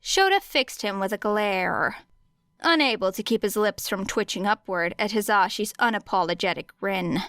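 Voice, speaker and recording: A woman narrating fiction as an audiobook, with expressive storytelling. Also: medium pitch, normal speed, no room sound, clean studio sound.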